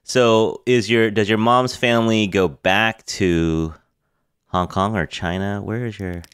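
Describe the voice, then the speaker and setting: A man's voice: on the low side, fairly even intonation, measured pace, a bit bright, clean tone, no echo, clean studio sound. A man hosting a podcast who asks curious questions, rephrases as he goes and laughs along with his guest. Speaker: podcast host. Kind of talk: casual interview questions.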